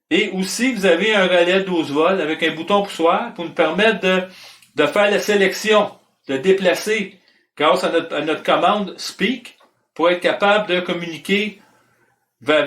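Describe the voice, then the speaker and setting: A high-pitched male instructor with a Quebec French accent walks through a technical demonstration in an informal, explanatory way. He restates key points as he goes. The sound is echoey, picked up at some distance from the microphone. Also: a bit bright. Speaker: electronics hobby instructor. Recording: roomy echo, distant microphone.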